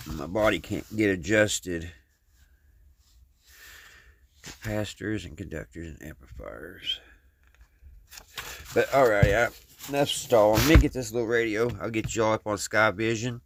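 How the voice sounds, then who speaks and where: A man's voice: lowish voice, normal speed, noisy background. A man with a Kentucky Southern accent talking casually and plainly to his viewers, in a folksy, rambling way as he works with his hands. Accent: Kentucky Southern American. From Kentucky, United States. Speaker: host of a hobby repair video channel.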